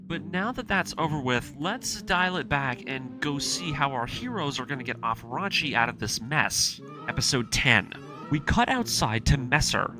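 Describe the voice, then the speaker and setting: A man's voice: mid-range voice, very animated, moderate pace, rough recording. A man narrating a scripted story recap with personal commentary, in an emphatic, enthusiastic fan manner. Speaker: anime video essayist and host. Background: music.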